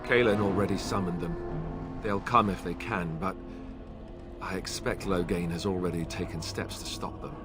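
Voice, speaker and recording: A man's voice: sits low, moderate pace, music in the background, lightly acted. Man playing an earnest young fantasy warrior. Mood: grim, determined. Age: young adult. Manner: earnest, expressive. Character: earnest young warrior, idealistic and wryly sceptical. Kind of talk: dramatic video game acting.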